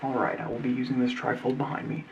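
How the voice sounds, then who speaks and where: A man playing a cheery 1950s television talk show host, speaking softly with salesman patter. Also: medium pitch, level tone, quick pace, creaky, highs cut off, slight background noise, close to an everyday voice. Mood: chipper. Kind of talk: 1950s television announcer parody, soft-spoken.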